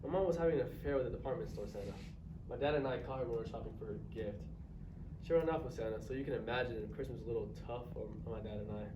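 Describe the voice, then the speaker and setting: A man's voice: mid-range voice, rapid-fire, close to an everyday voice. A male drama student playing a bitter, closed-off, self-centred man in a staged reading. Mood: wounded, sad. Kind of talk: staged reader's theatre performance. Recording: distant echoey noisy live stage recording.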